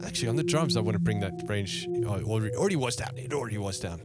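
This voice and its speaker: A man giving a casual software tutorial on music production, thinking aloud in short remarks as he works. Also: lowish voice, lively intonation, very fast. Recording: electronic music playing along with the voice. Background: electronic music, drums and synth tones.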